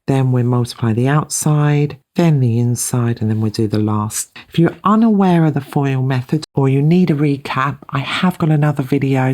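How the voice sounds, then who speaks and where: A woman's voice: rumbling low, warm tone. A woman from the UK with a British accent, giving a methodical, step-by-step lesson as a maths tutor. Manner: methodical, explanatory. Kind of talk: step-by-step instructional explanation.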